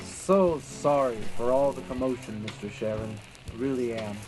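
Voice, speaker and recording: An actor playing a polite, showy young stage magician with theatrical patter, in old-time radio style. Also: male voice, medium pitch, normal speed, music in the background, close to an everyday voice, low-quality recording. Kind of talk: 1940s radio acting.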